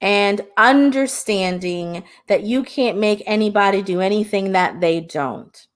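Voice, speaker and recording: A woman's voice: mid-range voice, unhurried. A middle-aged woman from Jamaica, hosting a live-stream discussion and speaking passionately, often turning to address her viewers directly. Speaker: host and hypnotherapist.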